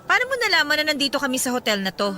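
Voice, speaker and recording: A woman's voice: high-pitched, sing-song, racing, sharp tone, soft background music, full character voice. An actress playing an indignant, sarcastic mother who feels bitterly wronged, in an emotional radio drama style. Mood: confrontational, suspicious. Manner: biting, sarcastic retorts.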